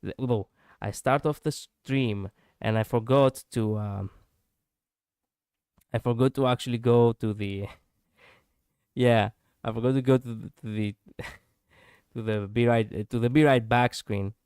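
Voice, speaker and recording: A man's voice: medium pitch, fairly even intonation, measured pace, a little mellow, clear, resonant tone, no room sound, studio quality. A male streamer chatting casually and reflectively, poking fun at his own slip-ups. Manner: musing, self-deprecating, laughs at own slips. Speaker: VTuber streamer.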